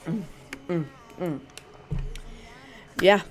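A man's voice: high voice, extremely slow. Sick young man rambling through gameplay. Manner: rambling, hesitant, many ums and restarts. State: sick, sore throat. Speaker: Minecraft YouTuber. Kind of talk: casual gameplay showcase commentary.

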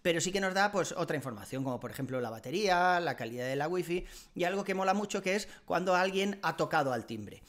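Male tech vlogger, 40s, Castilian Spanish accent, fairly high-pitched, conversational and colloquial, recorded at home on a close mic. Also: animated, fast talker, fairly bright tone. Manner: conversational, colloquial, with frequent tag questions. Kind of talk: casual explainer to camera. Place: furnished living room at home. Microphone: desk microphone close in front.

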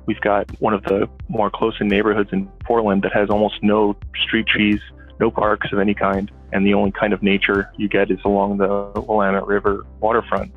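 A man explaining as an architect, with a husky voice on a dull recording. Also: fairly low pitch. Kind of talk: explanatory commentary.

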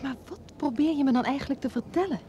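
Vintage radio play, lady playing a determined mistress, fairly high voice, noisy background. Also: fast talker, dark tone, highs cut off, natural voice. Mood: insistent. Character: insistent female lover eloping with a married man. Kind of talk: old Dutch radio play acting. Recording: old radio recording, clear background noise.

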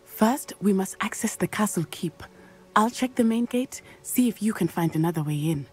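A young woman voicing a brave, determined schoolgirl witch in a video game, speaking in a formal, measured way and often dropping to a hush. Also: mid-range voice, animated, slightly rough, soft background music. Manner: measured, formal, confident speech, often hushed. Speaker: game character.

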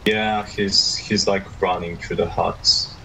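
A man's voice: deep voice, fairly even intonation, takes it slowly, vocal fry, bright, forceful tone, a little hiss or hum, rough recording. A tired man playing a co-op game with a friend, giving short, laid-back answers in casual chat. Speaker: co-op gaming partner. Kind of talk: casual voice chat while gaming.

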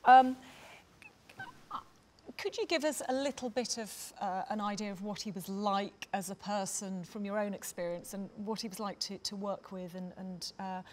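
A woman's voice: medium pitch, moderate pace, a little hiss or hum. A middle-aged woman chairing a panel discussion on a theatre stage, phrasing her questions in a hesitant, circling way. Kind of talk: conversational panel moderation. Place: theatre stage, seated panel before an audience.

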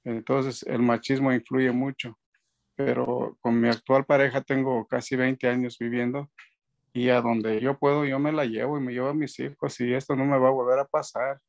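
A man's voice: medium pitch, flat delivery, moderate pace, gravelly, highs cut off. A man in his fifties on a video call from home, a band's keyboardist chatting casually, rambling with many fillers and restarts. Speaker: band keyboardist, guest. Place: indoor room at home. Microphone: video call webcam.

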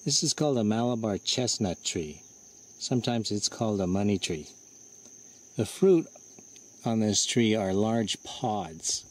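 A man vlogging outdoors under trees, talking in a relaxed, explanatory way to his handheld camera. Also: on the low side, a little slow. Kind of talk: casual outdoor show-and-tell. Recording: faint insect and cricket noise.

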